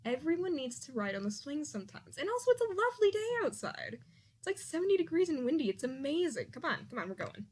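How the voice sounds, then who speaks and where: A young woman improvising a roleplay as a playful, bossy, sentimental childhood friend, speaking casually and haltingly with a teasing edge. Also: sits high, sing-song. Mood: exasperated, bossy. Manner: halting, rambling, casual speech with teasing bossiness.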